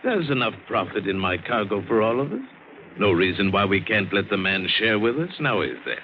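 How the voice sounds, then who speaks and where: Condescending gunrunning boss, sardonic courtesy, expressive low register, dull vintage radio sound. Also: male voice. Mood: cool, scornful. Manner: measured, sardonic politeness. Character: smooth, mocking gunrunner, coolly condescending criminal boss. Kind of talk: 1940s-50s American radio drama acting. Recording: dull, faintly hissy old radio broadcast.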